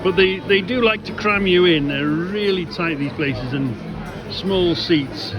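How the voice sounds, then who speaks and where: A man with a slightly high voice talks slowly into a handheld camera, vlogging in a casual way. He rambles and repeats himself, often asking the listener questions, with loud music and crowd noise around him. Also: lo-fi sound. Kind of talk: casual chatty vlog commentary. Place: out on a city street and inside a busy theatre auditorium. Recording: background music and crowd chatter in public spaces.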